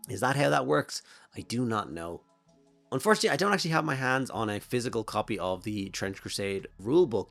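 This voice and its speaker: A hoarse Irish man with a flu-worn voice talks casually through a miniature painting tutorial, rambling and thinking aloud with plenty of fillers. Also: lowish voice, fairly bright tone, dry recording. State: hoarse from a flu and throat infection. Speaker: miniature painting channel host.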